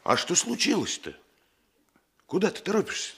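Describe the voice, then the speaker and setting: A male actor playing a gruff, principled senior policeman in a radio play, plainspoken, homely and paternal. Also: sits high, huge pitch swings, moderate pace, fairly bright tone, heavily acted. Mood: fatherly.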